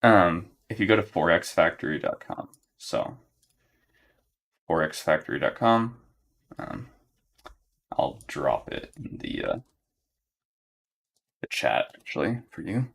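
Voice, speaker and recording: A young man talks through his trades live for his chat, unhurried and monotone. His voice sits very low and carries a gravelly fry. He thinks aloud at a desk mic and checks in with a steady "right?". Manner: monotone, relaxed, low-key. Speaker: day trader streaming live. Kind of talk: live trading commentary to chat.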